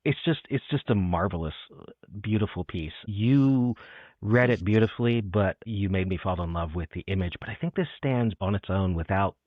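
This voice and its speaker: A man's voice: lowish voice, normal speed, no echo. A man hosting a poetry show, chatting about literature in an enthusiastic, appreciative way. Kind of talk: conversational literary interview. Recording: muffled phone-like audio.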